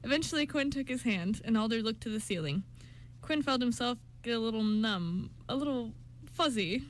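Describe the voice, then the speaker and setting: High-voiced woman narrating a story. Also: lively intonation, normal speed, a little hiss or hum. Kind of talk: scripted story narration for amateur radio play.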